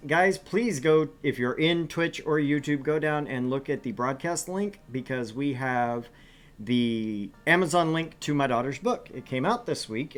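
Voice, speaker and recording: A middle-aged host opening a gaming stream, rambling with fillers and joking at their own expense. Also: medium pitch. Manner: rambling, filler-heavy, self-deprecating. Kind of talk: casual stream host talk.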